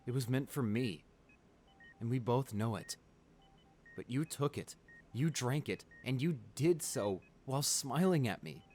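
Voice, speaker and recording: A man playing a wealthy, devoted client pleading at his comatose lover's bedside in an intimate ASMR roleplay, emotional and halting. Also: mid-range voice, lively intonation, a little mellow, a little hiss or hum, close to an everyday voice. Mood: tender, sorrowful. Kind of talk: ASMR boyfriend roleplay, dramatic monologue.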